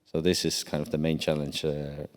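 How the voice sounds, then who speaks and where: A man from Estonia answering on a conference panel, speaking haltingly with many fillers and restarts. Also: very deep voice, completely flat delivery. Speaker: deputy cyber commander on a panel. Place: large conference hall. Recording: long hall reverb.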